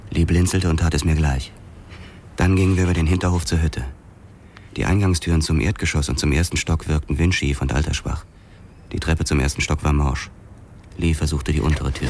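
An actor playing a brooding, terse young police detective who narrates a noir crime drama in a measured, sombre way. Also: male voice, a bass voice, a little mellow, slight background noise. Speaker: first-person narrator.

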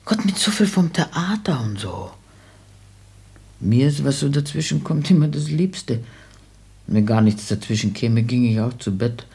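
An actress playing a simple old mother in a radio play, very husky, homely and hesitant as she wonders aloud. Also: rumbling low, lively intonation, unhurried, mellow, exaggerated voice. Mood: doubtful, curious. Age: older. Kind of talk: German literary radio play acting.

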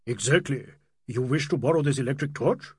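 A man voicing a pompous, excitable old Indian nobleman in an audiobook. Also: medium pitch, a little fast, breathy edge, no room sound, clean studio sound. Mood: eager agreement. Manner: pompous, exclamatory.

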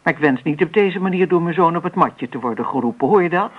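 An actress playing a caring but firm middle-aged mother with a husky voice in a Dutch radio play. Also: on the low side, expressive, quick pace. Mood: indignant, stern. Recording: dull old radio play recording.

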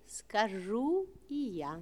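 A woman playing a gracious, reassuring good fairy in a fairy-tale audio play, solemn and measured. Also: medium pitch, big pitch swings, mellow, soft background music, heavily acted.

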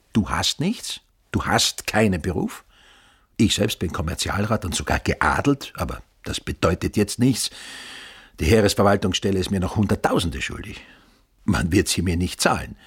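A blunt husky businessman. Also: low voice, heavily acted. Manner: blunt and matter-of-fact. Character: blunt older male businessman, father-in-law. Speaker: actor in radio drama. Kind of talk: radio drama acting.

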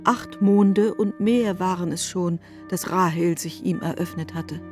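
A woman reading scripted literary narration in a measured, formal manner. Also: fairly low pitch, a little mellow, faint music behind.

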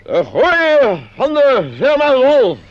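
A man's voice: very high-pitched, sing-song, slow, exaggerated voice. An actor playing a pompous company director, declaiming a speech to his staff in a Dutch radio play. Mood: pompous, rousing. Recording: dull, noisy old radio recording.

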